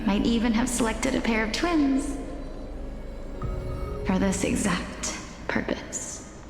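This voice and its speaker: A troubled young noblewoman, highish slow voice, hesitant game drama, super echoey w music. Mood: anguished, afraid. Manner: halting, troubled, formal. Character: hesitant, fearful young noblewoman, gentle and sorrowful. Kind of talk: English game voice acting, dramatic. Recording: echoey hall reverb, music behind.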